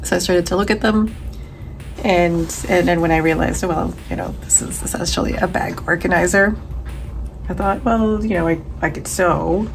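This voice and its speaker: A woman's voice: lowish voice, steady tone, normal speed, creaky, poor audio. A woman in her thirties narrating a casual craft vlog, informally thinking aloud as she goes. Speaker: crochet crafter and vlogger.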